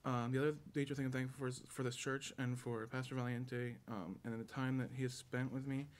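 A young man giving a halting public testimony in church, searching for his words. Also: medium pitch, level tone. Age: young adult. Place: church sanctuary at a lectern. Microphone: stand microphone. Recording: noisy church hall recording.

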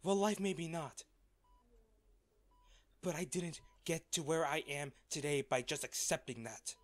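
Slow, emotional man acting a devoted, distraught lover. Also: a little high, expressive. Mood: pleading, upset. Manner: halting, emotional, earnest delivery with pauses. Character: wealthy man, devoted and distraught, confessing his love. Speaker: voice actor in audio roleplay. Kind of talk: intimate audio roleplay drama.

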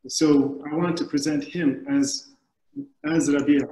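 A man in his forties from the Middle East, a film director giving thoughtful, halting answers on a video call panel. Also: on the high side, steady tone, mellow. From Middle East, born in Kuwait. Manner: halting, searching for words. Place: indoors, book-lined room at home. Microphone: computer mic on a video call. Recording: echoey room over video call.

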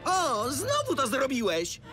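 A curious young boy crane from a cartoon, high and very animated. He is naive and wide-eyed and draws his words out slowly, over music. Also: exaggerated voice. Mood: suspicious. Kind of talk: dubbed children's cartoon acting.

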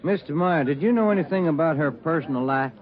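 An actor playing a plain, steady, firm male police detective in a 1940s radio drama. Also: on the high side, fast talker, natural voice. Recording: tinny 1940s radio recording.

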